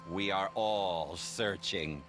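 An actor playing a serene, pompous leader of a strange religious sect, sermonizing in a video game. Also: male voice, sits low, slow pace, fairly bright tone, soft background music. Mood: serene, preaching. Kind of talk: theatrical video game character acting.

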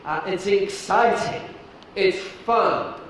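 Middle-aged british guy doing a keynote, kinda high voice, big echoey hall, mic sounds a bit far. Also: a bit bright, a little hiss or hum. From United Kingdom. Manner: deliberate, emphatic presenter with fillers. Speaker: keynote speaker. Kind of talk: conference keynote talk. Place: large dark stage before an audience.

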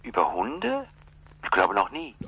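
An actor playing a meek, flustered junior radio editor in a radio play, hesitant and deferential. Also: male voice, fairly low pitch, wildly swooping pitch, a little slow, sharp tone, husky, full character voice. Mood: timid.

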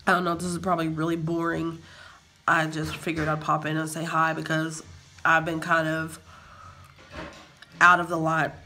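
A man's voice: fairly high pitch, monotone, moderate pace, long pauses, pushed and bright, a little hiss or hum. A young man with a sinus infection, chatting and joking drily at home into a phone camera. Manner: dry joking, playful. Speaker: vlogger's partner. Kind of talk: casual banter. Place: home, indoors.

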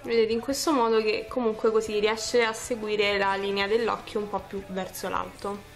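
A woman's voice: medium pitch, little pitch movement, normal speed, soft background music. A woman, casually talking through a makeup tutorial step by step in an informal, chatty way. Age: 20s. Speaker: beauty vlogger. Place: small furnished room at home, indoors.

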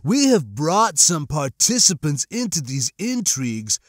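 A sly spider-like alien schemer played by a man drawls slowly in a swooping, higher-set voice. The creature is unctuous and theatrically sarcastic, all false flattery, in an audiobook with a dry, close sound. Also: no room sound. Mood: sly, agreeable. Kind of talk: audiobook character acting.

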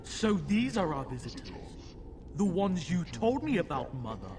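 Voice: mid-range voice, very animated, normal speed, noisy background, close to an everyday voice. A performer playing a demon-possessed young boy in a fantasy game, haughty, mocking and commanding. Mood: imperious curiosity.

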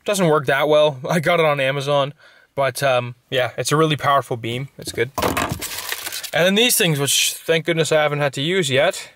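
A man's voice: a little high, normal speed, a little hiss or hum. Teenage guy vlogging from his truck. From Canada. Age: teens. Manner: relaxed, rambling, with fillers and asides. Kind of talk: casual show-and-tell vlog. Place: inside a pickup truck, parked. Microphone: handheld camera close up.

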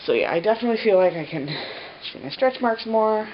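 A woman's voice: medium pitch, animated, fast. A woman in her thirties, stuffy, scratchy-voiced and short of breath, chatting to camera in a rambling way with many fillers, filmed in a bedroom. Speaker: pregnancy vlogger. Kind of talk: casual chat to camera. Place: bedroom, indoors. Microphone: camera on a tripod at medium distance. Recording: slightly dull home video with faint hiss.

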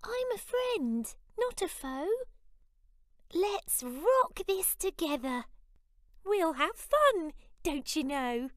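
A woman voicing a tiny, timid male mouse who squeaks and speaks nervously and politely in a storybook reading. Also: big pitch swings, very slow, no room sound, studio quality, heavily acted. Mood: friendly, cheerful.

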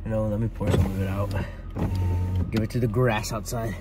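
A man's voice: on the low side, fairly even intonation, a little fast, very noisy. A young man vlogging casually about his workout, rambling and laid-back with lots of fillers and restarts. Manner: rambling, filler-heavy, self-correcting. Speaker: fitness vlogger. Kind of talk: casual vlog talk to camera.

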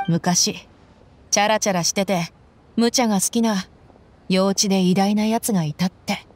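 A woman voicing a tough, blunt, warm-hearted young female mercenary with tomboyish casual speech in an anime-style game. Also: mid-range voice, fairly even intonation, normal speed, a little hiss or hum, natural voice. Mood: reflective, resolved.

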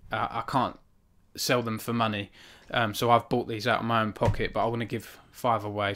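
A man in his thirties speaks close to a studio microphone in a small room at home. His voice sits at a middle pitch. He reads steadily, then chats earnestly and a little self-consciously with his viewers, restarting his words often. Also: sharp tone. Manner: earnest and self-conscious, with frequent restarts and fillers when chatting. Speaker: author hosting a book launch stream. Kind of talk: reading aloud from his novel, then chatting with live viewers. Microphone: studio microphone on a stand in front of him.

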